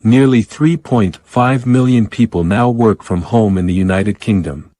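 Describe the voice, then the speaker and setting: A man's voice: lowish voice, darkish tone, dry recording. A man reading a short informational passage aloud as scripted narration. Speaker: exam practice reader.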